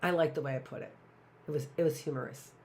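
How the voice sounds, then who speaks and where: A woman's voice: low voice, steady tone, fast, a little mellow, slight background noise. A middle-aged woman teaching a live online class, rambling with sarcastic asides and talking to herself.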